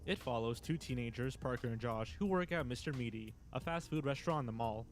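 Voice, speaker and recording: A man's voice: medium pitch, a little fast. Man narrating over music. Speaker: video countdown narrator. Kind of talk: scripted narration.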